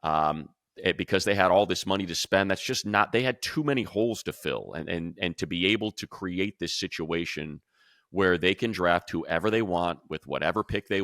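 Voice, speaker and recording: A man with a very deep voice and a bright, punchy edge talks through sports analysis. He is conversational and emphatic, rambling with asides. The sound is close and dry. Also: creaky, dry recording. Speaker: sports show host and analyst. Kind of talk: casual sports analysis talk.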